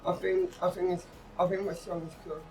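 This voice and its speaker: A man speaking casually in an interview, haltingly, often starting a phrase over before finishing it. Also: high-pitched, flat delivery, darkish tone. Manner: halting, repeats phrase openings. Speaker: actor and drummer in a stage play being interviewed. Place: outdoors on a sea front. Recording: distant mic outdoors, faint music behind.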